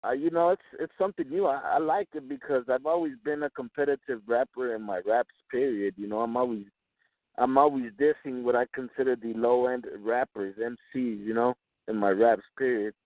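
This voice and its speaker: A man's voice: mid-range voice, brisk, dry recording. Young guy from mexico, native spanish, rapper chatting in slangy english, dry muffled lo-fi sound. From born in Chihuahua, Mexico; lives in West Texas. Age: 20s. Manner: slangy, talkative, frequent "man" and "you know what I'm saying". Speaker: rapper being interviewed. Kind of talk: casual interview chat.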